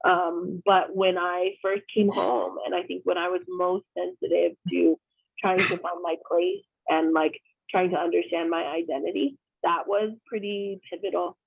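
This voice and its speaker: A woman's voice: fairly low pitch, level tone, measured pace, creaky, husky, no echo. A woman in her thirties hosting an online group discussion over a video call, candid and conversational with frequent fillers. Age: 30s. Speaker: discussion host. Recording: dry, phone-like video call audio.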